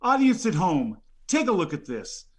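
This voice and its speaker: Man, high voice, aggrieved rambling nominee, lots of stammers and ums. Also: huge pitch swings, moderate pace, husky. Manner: indignant, rambling, stammers with many fillers. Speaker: nominee on a comedy show. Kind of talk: improvised comedy rant.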